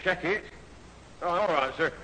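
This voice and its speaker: An actor playing a long-suffering, officious police constable in a 1970s radio sitcom. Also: male voice, high voice, a little slow. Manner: officious, weary, exasperated. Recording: reverberant, slightly noisy old radio recording.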